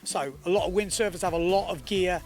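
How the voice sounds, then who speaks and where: A man's voice: high voice, fairly quick, soft background music. A man presenting a windsurfing event report with energy, explaining things to viewers emphatically. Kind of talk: enthusiastic sports report presenting.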